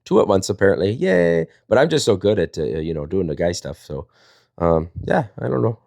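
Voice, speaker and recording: Low-voiced guy, fast-talking playful storyteller, very dry close sound. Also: sing-song, creaky, darkish tone, no room sound. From Wisconsin, United States. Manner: rambling, jokey, playful. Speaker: storyteller and live panel host. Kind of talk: casual chat and storytelling.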